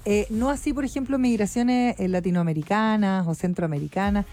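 Woman, radio host, mellow voice, conversational, soft music underneath. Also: medium pitch, brisk. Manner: conversational, leading questions. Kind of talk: radio talk show.

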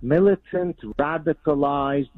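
A man from Lebanon whose first language is Arabic, a guest arguing his points in an emphatic, fast-flowing way. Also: on the high side, fairly even intonation, dry recording. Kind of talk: remote interview, argumentative commentary. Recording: tinny phone line.

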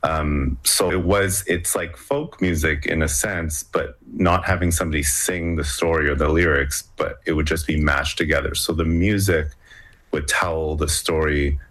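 A man's voice: rumbling low, fairly even intonation, moderate pace, fairly bright tone. A young man from Hong Kong, a musician, talking casually in an interview with many fillers and restarts. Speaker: musician guest.

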